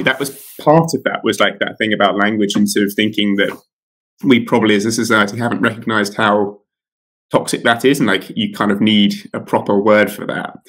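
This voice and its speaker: A man's voice: lowish voice, fairly bright tone, rough recording. A man in his thirties, an author being interviewed over a video call from home, talking casually with many fillers and restarts. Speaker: author guest. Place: home room with curtains.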